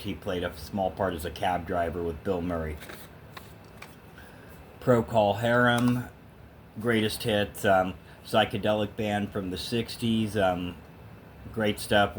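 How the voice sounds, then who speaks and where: A man's voice: fairly low pitch, steady tone, unhurried, slight background noise. A man talking casually and emphatically to the camera as an opinionated music fan, in a rambling vlog style. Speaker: vlogger showing a thrift store music haul.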